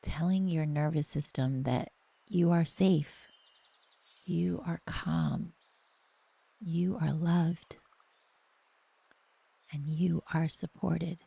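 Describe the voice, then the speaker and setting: A woman with a soft, breathy voice gently leading a guided relaxation meditation in a soothing, unhurried manner. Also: low voice, fairly even intonation, no room sound.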